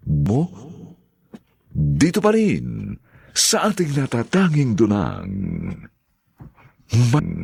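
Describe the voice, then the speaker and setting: A man hosting a radio drama, announcing credits and greeting listeners in a formal, measured way on a close studio mic. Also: fairly low pitch, a little mellow, no room sound. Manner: slow, measured, gravelly delivery.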